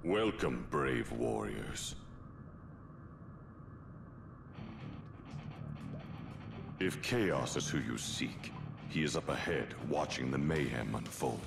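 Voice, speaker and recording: An actor playing a sinister, gloating spirit of chaos with ominous, theatrical, drawn-out delivery in a video game cutscene. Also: male voice, a bass voice, lively intonation, vocal fry, full character voice. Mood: ominous welcome. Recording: loud background music.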